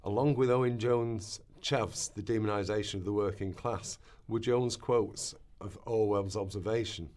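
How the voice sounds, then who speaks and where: A man's voice: on the low side, fairly even intonation, normal speed, few pauses, darkish tone. A man reading scripted, essay-like narration for a radio play.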